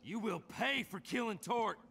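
A man's voice: high voice, expressive, normal speed, gravelly, bright, forceful tone, slight background noise, heavily acted. An actor voicing a vengeful, swaggering biker gang leader in a video game, drawling his taunts. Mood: menacing. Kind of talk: video game cutscene acting.

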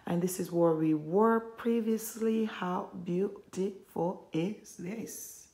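A woman narrates a casual house tour for her viewers. Her voice is mellow and kept low, as if not to disturb others. She takes her time and gushes admiringly, chatting as she walks from room to room with the camera in hand. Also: slow. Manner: hushed, keeping voice low; admiring, gushing. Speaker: home tour vlogger. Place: indoors, walking through a furnished model home. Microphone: handheld phone or camera held by the speaker.

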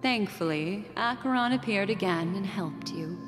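A woman's voice: medium pitch, animated, natural voice. A woman voicing a hypnotic fortune-teller. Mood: soothing, enigmatic. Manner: slow, hypnotic storytelling. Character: mysterious woman fortune-teller, soft and knowing. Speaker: game character narrating a recap. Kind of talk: video game cutscene voice acting. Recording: game audio with long reverb and music.

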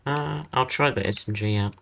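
A hoarse young man with a partly lost voice, chatting casually as he plays through a game and says "dude" a lot. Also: medium pitch, normal speed, pushed and bright. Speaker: gaming channel host. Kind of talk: casual let's-play commentary.

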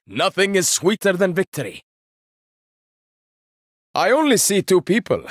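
Casting: proud young game hero, inventor-warrior, dry wit, slow deliberate delivery, lively pitch, dry close studio sound. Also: male voice, no room sound, heavily acted. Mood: confident. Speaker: game character. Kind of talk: video game voice lines.

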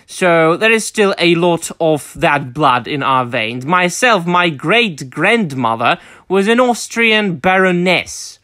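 Casting: young male host, slightly high, measured formal delivery, very dry sound. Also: big pitch swings, bright, forceful tone, no echo. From Czech Republic. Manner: formal, deliberate, many fillers and restarts. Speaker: channel host. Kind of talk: opinionated monologue.

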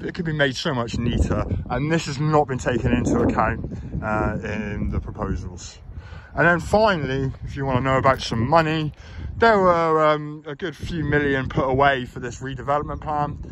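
A man walking through an outdoor park, explaining and criticising plans in a hesitant way with many fillers, recorded on a handheld phone. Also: medium pitch. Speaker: local election candidate. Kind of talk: walking tour commentary. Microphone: phone camera held while walking. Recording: phone recording outdoors with faint background noise.

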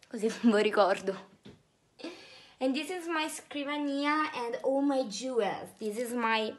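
A woman in her twenties with an Italian accent, giving a playful, mock-glamorous tour of her small apartment in a mix of clumsy English and Italian, filmed on a phone at arm's length indoors. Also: a little high. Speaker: vlogger giving a parody house tour. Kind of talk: comic home tour vlog. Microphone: phone held at arm's length.

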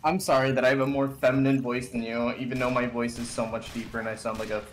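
A man's voice: medium pitch, fast, low-quality recording. A man chatting over voice chat during a game, jumpy and teasing back at friends. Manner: lively, jumpy intonation, swears when teased. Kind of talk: casual gaming chat.

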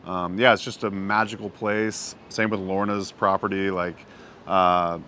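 A low-voiced man in his thirties talks in a loose, easygoing way, with plenty of "uh" and "yeah" as he thinks aloud. He is recorded outside by a camera a few feet away. A faint outdoor hum sits under his voice. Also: a little slow. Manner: relaxed, lots of "uh" and "yeah". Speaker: vlog host. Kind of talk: casual travel chat. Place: outdoors on a hillside above a small town.

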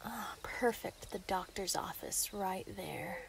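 A woman's voice: medium pitch, takes it slowly, vocal fry. A woman voicing a menacing, taunting female werewolf in an ASMR roleplay audio drama, often dropping toward a whisper. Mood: sinister glee. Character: gleeful, menacing female yandere werewolf villain.

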